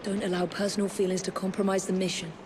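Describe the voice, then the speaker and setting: A woman's voice: mid-range voice, little pitch movement, fast talker, natural voice. A woman playing a businesslike young Victorian agent in a game cutscene, direct and formal. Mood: brisk, guarded. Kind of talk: dramatic game cutscene acting. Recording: clear street and carriage noise behind.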